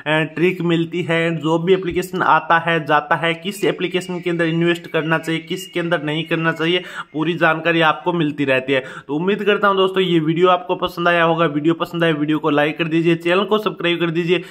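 A man narrating an app walkthrough on a phone screen in a steady, repetitive tutorial patter. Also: a little high, a little fast, hardly any pauses. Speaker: YouTube app reviewer. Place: indoors, filming a phone screen.

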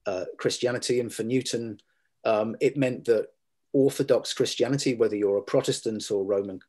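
A middle-aged man, a guest scholar, talking in a measured and halting way with many fillers and restarts, recorded over a video call from a room at home. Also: mid-range voice, dark tone. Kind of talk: academic discussion on a video call.

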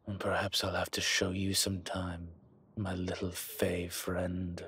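A man playing an arrogant, luxury-loving dragon mob boss in an intimate roleplay audio, speaking in a deliberate, smooth-talking way with a rough voice. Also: low-pitched, monotone, a bit bright, exaggerated voice. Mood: smug, teasing. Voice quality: husky, rough. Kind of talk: intimate scripted roleplay audio, one-sided conversation.

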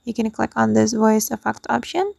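A woman's voice: on the high side, animated, normal speed, gravelly, a little mellow, dry recording, clean studio sound. A woman hosting a tutorial, narrating an app walkthrough step by step. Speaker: tutorial host.

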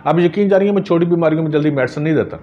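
A man's voice: fairly high pitch, very fast, lo-fi sound. A middle-aged man, giving home remedy advice to camera as a herbalist, earnest and gesturing as he talks in a small office. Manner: earnest, gesturing, religious phrases. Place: small office with framed photos on walls.

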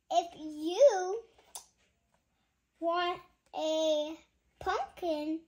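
Slow, sing-song young girl reading aloud. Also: extremely high pitch. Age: child. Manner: giggly, chatty asides between lines. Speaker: child reading a bedtime story. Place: bedroom at home, indoors.

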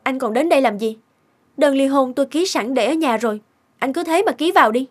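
A woman voicing a hurt, reproachful young wife and mother in a cartoon, with loud music behind her. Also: high-pitched, fairly quick, natural voice. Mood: cold, bitter. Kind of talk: animated cartoon voice acting. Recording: loud background music over voice.

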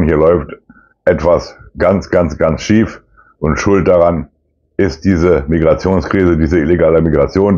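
A man's voice: low-pitched, dark tone, dull audio. A man in his fifties giving blunt, colloquial political commentary to camera in a disapproving manner, as a politician hosting a weekly round-up. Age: 50s. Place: small plain indoor room.

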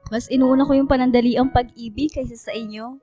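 A woman's voice: sits high, fairly even intonation, a little fast, warm tone, faint music behind. A woman playing a sensitive, lovestruck young female student in radio drama dialogue. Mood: apologetic.

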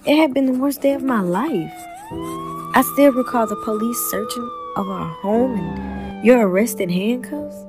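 A woman's voice: fairly high pitch, expressive, measured pace, over music. An actress playing an earnest, wistful eleven-year-old village girl in an audio drama, longing for her father.

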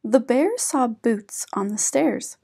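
A woman reading a children's picture book aloud in an expressive, deliberate storytelling manner. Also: a little high, creaky, slightly rough. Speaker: read-aloud channel host.

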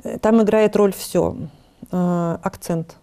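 Middle-aged woman, warm dark tone, thoughtful, many pauses, TV studio. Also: lowish voice, fairly even intonation, clear, resonant tone. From Urals, Russia. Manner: reflective, hesitant, many fillers. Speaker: studio guest, educator. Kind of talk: casual studio conversation. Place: TV studio set.

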